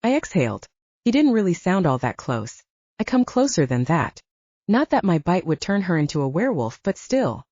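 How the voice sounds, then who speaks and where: A woman's voice: fairly low pitch, sing-song, normal speed, mellow, no echo, highs cut off. A woman reading scripted first-person fiction as an audiobook narrator.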